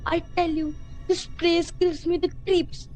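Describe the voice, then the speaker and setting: A woman's voice: very high-pitched, flat delivery, measured pace. A woman playing a nervous, imaginative young boy in a student radio play, apprehensive and pleading. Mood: uneasy. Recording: loud music over the voice.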